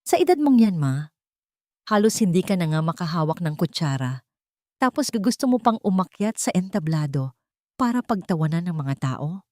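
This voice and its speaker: A woman's voice: on the low side, sing-song, moderate pace, darkish tone, dry recording, studio quality. A woman voicing a cold, bitter grown son in an audio drama, emphatic and reproachful with an elderly mother.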